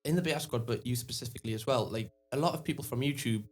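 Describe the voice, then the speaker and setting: A man hosting a podcast, putting a sincere, measured question to his guest. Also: medium pitch. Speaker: podcast host. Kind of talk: casual interview chat.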